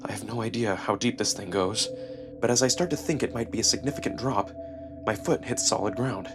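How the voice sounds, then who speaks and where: A man narrating a first-person story dramatically, with expressive, deliberate pauses. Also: fairly low pitch. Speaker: narrator and performer. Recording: music behind the voice. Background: music.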